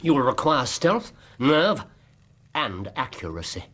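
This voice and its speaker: Wry English detective with lively delivery. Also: male voice, mid-range voice, measured pace. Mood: brisk, confident. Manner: precise, theatrical, wry. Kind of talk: radio drama acting.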